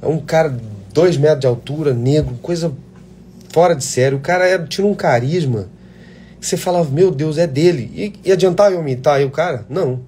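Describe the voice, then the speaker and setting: A man's voice: fairly high pitch, brisk, mellow, slight background noise. A man, an actor, talking casually and passionately to aspiring actors in a live chat, blunt and swearing freely. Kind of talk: casual live chat answering viewer questions.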